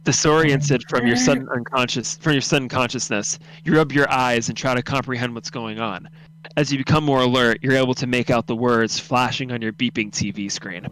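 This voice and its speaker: A man's voice: mid-range voice, fairly even intonation, fairly quick, fairly bright tone, rough recording. A man reading scripted second-person story narration for a radio drama.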